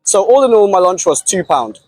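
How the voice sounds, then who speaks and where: A young man whose first language is Russian, vlogging outdoors about saving money in a quick casual way, with slang and the odd stumble. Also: sits high, sing-song, a little mellow, poor audio. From Russian-speaking background. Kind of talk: fast-paced money-talk vlog.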